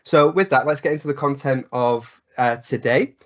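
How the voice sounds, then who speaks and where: A man with a croaky cold voice presenting a technical webinar, with frequent ums, uhs and restarts. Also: mid-range voice, moderate pace. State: hoarse from a cold. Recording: slightly dull webinar audio.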